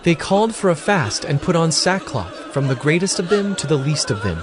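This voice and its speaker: Brief: male narrator, slightly high, very lively intonation, scripted scripture reading. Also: moderate pace, a crowd in the background.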